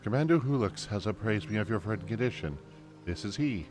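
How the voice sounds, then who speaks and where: A man's voice: lowish voice, expressive, a little fast, darkish tone, soft background music, voice pushed up, natural voice. A man voicing a serene, stately female elder leader welcoming guests in a fantasy video game. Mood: gracious, serene.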